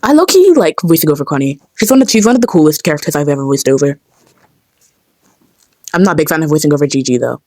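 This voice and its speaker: A woman talks casually through her own work, dropping muttered asides. Her voice sits a little low and moves around a lot in pitch. The sound is close and dry. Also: animated, quick pace, pauses often, darkish tone, no echo. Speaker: amateur voice actor and vlogger. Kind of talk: casual behind-the-scenes commentary.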